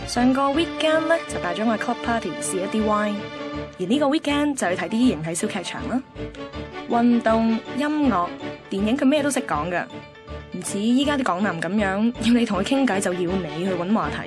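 A woman's voice: medium pitch, lively intonation, brisk, over music, close to an everyday voice. A woman with a Hong Kong Cantonese accent playing a picky, chatty host in her twenties, narrating a radio drama conversationally. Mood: playful, confiding. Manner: cheeky, self-assured, conversational.

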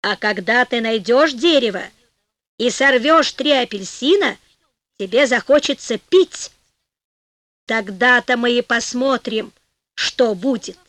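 Storybook villain: woman plays vindictive old crone, creaky, menacing, drawn-out delivery. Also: a little high, a bit bright, dry recording. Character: spiteful hunched grey-haired old witch laying a curse.

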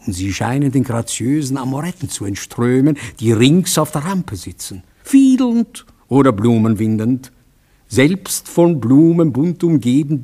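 A man reciting poetry as a dramatic prologue in a theatrical way, lingering over the phrases with breathy softness. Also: mid-range voice.

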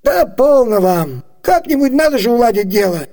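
An actor playing a fussy, obliging older gentleman in a comedy radio play, theatrical and conciliatory. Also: extremely high pitch, sing-song, normal speed, heavily acted. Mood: impatient, settling.

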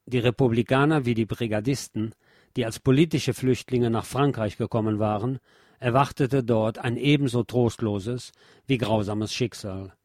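Male narrator, medium pitch, measured and solemn, scripted documentary reading, dry close sound. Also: darkish tone, dry recording.

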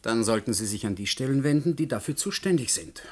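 A male physics lecturer, played by an actor in a radio play, with a medium-pitched voice. He talks formally and with measured care, reserved and politely evasive. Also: animated, natural voice. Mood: polite, guarded. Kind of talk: German radio drama acting.

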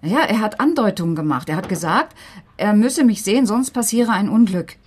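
Slightly husky, anxious middle-aged woman. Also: mid-range voice, expressive, moderate pace, darkish tone. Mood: uneasy, hesitant. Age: 40s. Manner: hesitant, flustered, emotional. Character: anxious forty-year-old female teacher and single mother. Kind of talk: naturalistic radio crime drama acting.

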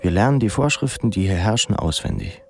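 An actor playing a musing, self-mocking young pupil in an intimate, ironic radio drama monologue. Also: male voice, lowish voice, expressive, a little fast, slight background noise. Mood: wry, confiding.

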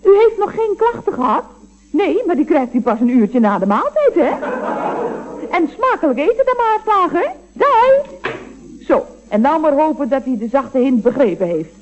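A cheeky female secretary in a radio comedy. She is polite and sing-song, slipping in dry jabs with very lively pitch, on a dull old recording. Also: moderate pace, background noise. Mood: dry, teasing. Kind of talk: Dutch radio comedy acting.

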